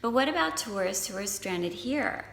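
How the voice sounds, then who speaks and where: A woman's voice: mid-range voice, animated, normal speed, creaky, a bit bright, reverberant, in a large hall, slight background noise. A woman anchoring a student news program, reading scripted segments.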